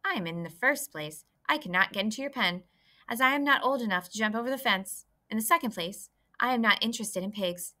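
A woman's voice: fairly high pitch, expressive, brisk, sharp tone. A woman voicing a snobbish young lamb who speaks curtly and disdainfully. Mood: snooty. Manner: curt, disdainful.

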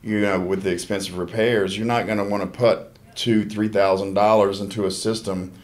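A man in his thirties with a fairly low voice speaks as an interview guest. His talk is halting, full of ums and restarted phrases. He sits at a desk podcast mic in a small office. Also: level tone. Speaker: HVAC technician guest. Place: small office studio, indoors.

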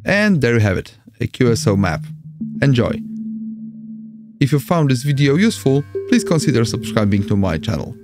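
A man's voice: mid-range voice, big pitch swings, normal speed. A man from Poland with a Polish accent, narrating a step-by-step amateur radio screen tutorial as its host. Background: music.

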